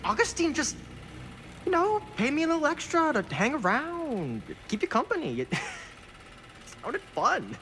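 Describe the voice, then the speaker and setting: A woman's voice: high-pitched, wildly swooping pitch, unhurried, pauses often, fairly bright tone, slight background noise, full character voice. An actress playing a shifty, evasive woman in a video game cutscene, speaking in a hesitant, sheepish stammer. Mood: nervous, excusing. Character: shifty, evasive woman caught in a secret deal.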